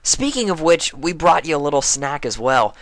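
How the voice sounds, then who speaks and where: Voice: medium pitch, big pitch swings, moderate pace, no room sound, pitched above the performer's own voice. A storyteller voicing a brash, protective young female pegasus pony who talks bluntly and casually. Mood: brisk, upbeat. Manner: blunt, forceful, slangy.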